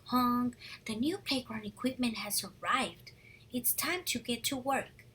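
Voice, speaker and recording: A woman teaching young children through a picture-book read-aloud, animated and encouraging, pausing to ask questions, with a husky, breathy voice. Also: on the high side, a little hiss or hum, poor audio.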